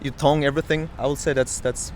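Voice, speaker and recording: A man's voice: mid-range voice, flat delivery, fast talker, a little hiss or hum. A young man from France with a French accent, answering a street interview outdoors, thoughtful and restarting often. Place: sunny beach promenade outdoors. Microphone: handheld mic held by interviewer.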